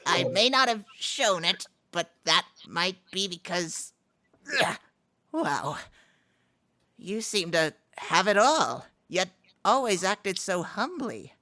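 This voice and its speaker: Woman doing earnest cartoon hero type, slow-ish and theatrical, really lively pitch. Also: exaggerated voice. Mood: wistful, earnest. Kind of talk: live amateur comic dub acting.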